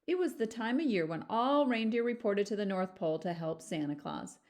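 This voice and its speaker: Female storybook reader, teacher type, animated delivery, talks directly to children. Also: medium pitch, a little fast. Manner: animated, addresses young listeners with questions. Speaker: teacher reading a picture book aloud. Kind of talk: children's storybook read-aloud with chatty asides.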